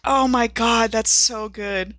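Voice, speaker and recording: A woman with a bright, forceful voice that sits a little high takes part in a tabletop roleplaying game. Her talk is casual and joking, recorded close with little room sound. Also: steady tone, slow, dry recording. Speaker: player on a tabletop roleplaying podcast.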